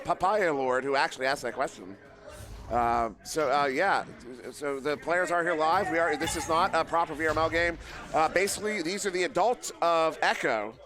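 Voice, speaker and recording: A man casting a live esports match, joking at his own expense and talking with many fillers, his voice worn and going hoarse. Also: on the high side, sharp tone. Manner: joking, self-deprecating, rambling with fillers. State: losing his voice. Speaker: esports caster. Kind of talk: live play-by-play commentary. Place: live event venue.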